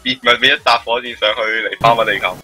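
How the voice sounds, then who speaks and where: A man gives casual, joking game-stream commentary. His voice is very bright and forceful with a raspy edge, over faint music. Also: medium pitch, fairly even intonation, quick pace.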